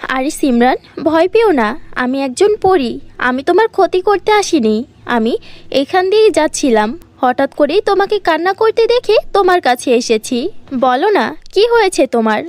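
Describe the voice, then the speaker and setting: A woman voicing a kind, gentle fairy in a cartoon, soothing and coaxing. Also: high-pitched, very animated, moderate pace, no room sound.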